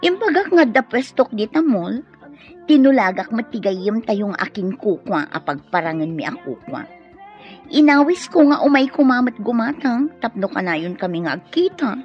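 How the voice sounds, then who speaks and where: A woman's voice: on the high side, very animated, moderate pace. A coy, scheming young Ilocano woman. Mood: sly, pleased. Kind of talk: emotional radio drama acting. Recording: dull lo-fi radio sound, music behind.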